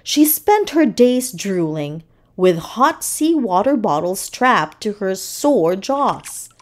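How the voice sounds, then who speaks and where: A woman narrates a children's story in an expressive read-aloud style. Her pacing is extremely slow and deliberate, and her pitch moves in lively, dramatic swings.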